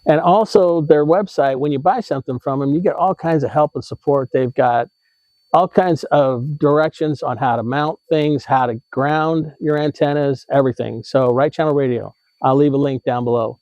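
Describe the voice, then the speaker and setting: American male host, mellow and dry-sounding, informal hands-on explainer, loose and unpolished delivery. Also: a little high, moderate pace, no echo, muffled recording. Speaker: host of an RV tips video channel. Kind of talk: casual how-to explanation.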